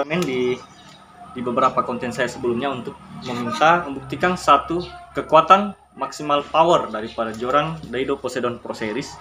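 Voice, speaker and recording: A man's voice: mid-range voice, fairly bright tone. A man in his thirties filming himself outdoors with a handheld phone, chatting upbeat to viewers as he reviews fishing gear. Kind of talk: casual product review vlog. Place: outdoors by a school building. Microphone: handheld phone camera close up.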